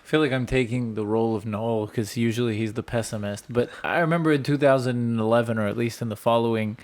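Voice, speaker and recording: A man's voice: mid-range voice, fairly even intonation, fast, darkish tone. A man co-hosting a podcast, thinking aloud in a reflective, casual way. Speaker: podcast co-host. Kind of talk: casual interview chat.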